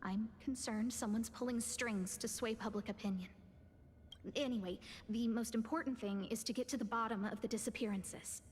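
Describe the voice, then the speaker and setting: A woman's voice: a little high, fairly even intonation, normal speed, a little hiss or hum, natural voice. An actress playing a composed, concerned young woman in charge of a space station, polite and articulate, in a game cutscene. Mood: grave, concerned. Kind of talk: scripted game cutscene acting. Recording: long reverb, music under the voice.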